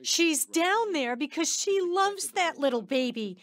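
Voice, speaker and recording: A woman acting a caring, outspoken sister in an audio drama, expressive and direct. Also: very high voice, measured pace. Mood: reproving. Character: caring, outspoken sister who challenges her brother.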